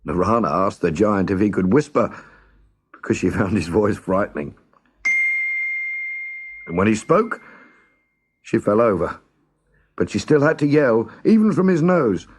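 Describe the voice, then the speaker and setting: A man narrating a scripted children's story as a storyteller, expressive, measured and dramatic. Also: on the low side, slight background noise.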